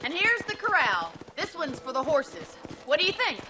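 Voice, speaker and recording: An actress voicing a spirited young frontier ranch woman in a video game, teasing, wry and brisk. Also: high-pitched, big pitch swings, noisy background.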